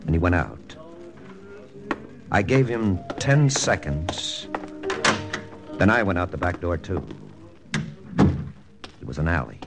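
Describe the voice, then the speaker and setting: An actor playing a hard-boiled army lieutenant, narrating in a clipped first-person style for a 1940s radio drama. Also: male voice, sits low. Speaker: narrator and lead. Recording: old radio broadcast with music.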